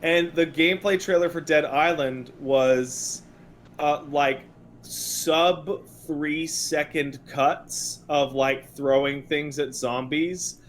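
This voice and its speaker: A man's voice: a little high, steady tone, takes it slowly, slight background noise. Blunt, sarcastic man on a podcast. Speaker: podcast co-host and video game commentator. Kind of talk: casual opinionated podcast chat about video games.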